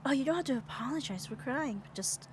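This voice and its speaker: A woman playing a muscular, devoted, gently dominant yandere girlfriend in an ASMR roleplay, speaking tenderly and soothingly and often dropping to a whisper. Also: high voice, animated, fairly quick, background noise. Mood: concerned, comforting. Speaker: ASMR voice performer. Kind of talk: ASMR roleplay, soft intimate acting.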